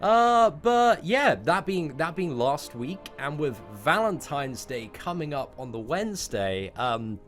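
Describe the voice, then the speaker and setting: A man in his twenties shares a story with his viewers over background music. His voice sits a little high for a man. He talks informally and rambles, swearing freely and repeating words to stress them. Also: big pitch swings, takes it slowly, hardly any pauses, fairly bright tone. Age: 20s. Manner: informal, sweary, rambling, emphatic word repetition. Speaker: YouTube content creator.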